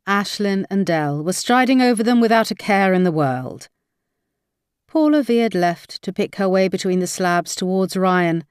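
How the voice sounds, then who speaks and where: A woman's voice: medium pitch, clear, resonant tone, no echo, clean studio sound. A woman reading a crime novel aloud as scripted audiobook narration.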